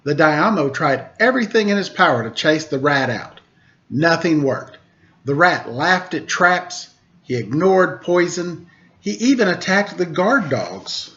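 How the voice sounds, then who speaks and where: A man hosting a storytime, reading a children's tale aloud with measured, pausing phrasing. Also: on the high side, big pitch swings, fairly bright tone. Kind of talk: read-aloud storytelling with casual introduction. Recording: dull lo-fi recording.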